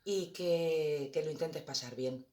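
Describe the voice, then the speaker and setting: A man's voice: a little high, slow, darkish tone. A man with an accent from Spain giving a casual greeting of thanks as a volunteer. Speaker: volunteer mask distributor.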